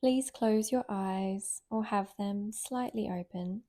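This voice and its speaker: A woman leading a guided relaxation in a gentle, soothing, unhurried way with long pauses. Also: mid-range voice. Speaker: meditation guide, yoga nidra teacher.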